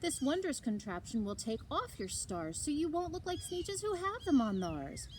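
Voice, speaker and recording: A sly storybook salesman creature, acted out by a woman reading aloud. He pitches in a high, lively, persuasive patter that swings up and down. There is clear noise on the recording. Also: moderate pace. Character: sly, fast-talking male salesman creature, smooth huckster.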